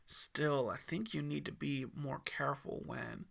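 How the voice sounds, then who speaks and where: A man playing an amused, bright-voiced dad. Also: mid-range voice, steady tone, unhurried, vocal fry, full character voice. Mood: cautioning. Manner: teasing, parental. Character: adult dad, amused, mildly chiding, caring.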